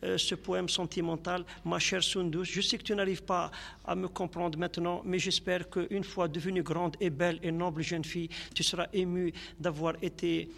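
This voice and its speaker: A man's voice: high voice, flat delivery, moderate pace, creaky, a bit bright, big-room sound. A man from Algeria, a poet, speaking in a radio interview and reciting his poems in a lyrical, grandiloquent way. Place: radio studio.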